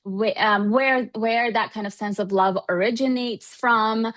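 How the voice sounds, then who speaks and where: A woman's voice: a little high, steady tone, a little slow, a bit bright. A woman from Iran, a researcher, explaining her work in an interview in a thoughtful, hedging way peppered with "you know.". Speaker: researcher and professor being interviewed.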